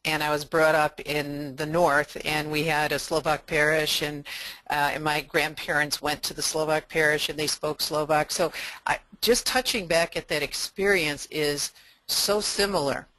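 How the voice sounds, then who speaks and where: Webinar panelist, female, bright and dry-sounding, warm reflective storyteller. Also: low voice, level tone, moderate pace, no room sound, rough recording. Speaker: webinar panelist, catechetical leader. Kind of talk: webinar discussion.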